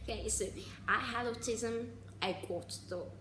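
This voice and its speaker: A woman around twenty talking casually in a personal vlog, halting and restarting, with sarcastic asides. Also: fairly high pitch, sharp tone, roomy sound, a little hiss or hum. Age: 20s. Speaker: vlogger.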